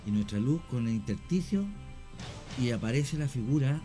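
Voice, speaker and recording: Halting man telling a story. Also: mid-range voice, animated, dark tone, faint music behind. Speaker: guest, paranormal investigator. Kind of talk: radio talk show storytelling.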